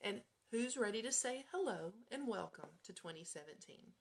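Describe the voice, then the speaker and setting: A woman's voice: mid-range voice, lively intonation, normal speed. Chatty American woman hosting radio. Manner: informal, chatty, breaks into giggles and asides. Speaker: radio show host. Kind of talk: casual live radio chat with read-out items.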